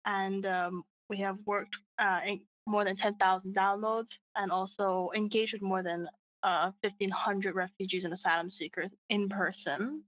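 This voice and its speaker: A woman's voice: medium pitch, steady tone, normal speed, muffled recording, a little hiss or hum. Woman cofounder chatting about her project, earnest, lots of uhs. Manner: earnest, frequent fillers and restarts. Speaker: app co-founder, guest. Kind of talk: casual interview answers.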